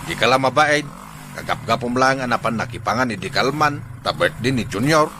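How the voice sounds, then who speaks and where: A man's voice: mid-range voice, expressive, brisk, noisy background, lightly acted. A man with an Ilocano accent playing a husband who lectures his wife in a radio drama. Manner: scolding, lecturing.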